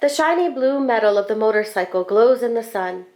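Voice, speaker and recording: A woman reading a children's picture book aloud in an expressive, deliberate storytelling manner. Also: fairly high pitch. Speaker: school staff storybook reader.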